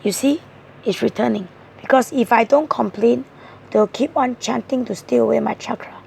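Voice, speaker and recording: Hoarse high-voiced woman reading tarot. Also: normal speed, a little mellow, a little hiss or hum. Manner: earnest, explaining cards point by point. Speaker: tarot reader. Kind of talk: tarot card reading monologue.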